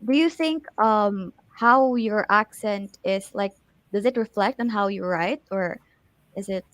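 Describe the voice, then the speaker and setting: A woman interviewer asks questions in a polite, hesitant way, softening them with "uh" and "like." Her middle-pitched voice sounds dry and close, with no room around it. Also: measured pace, no room sound. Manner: polite, tentative questioning with fillers. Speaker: interviewer host.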